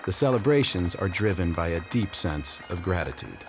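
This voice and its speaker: A man's voice: low-pitched, big pitch swings, moderate pace. A man giving scripted, earnest documentary narration. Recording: voice-over with loud music behind.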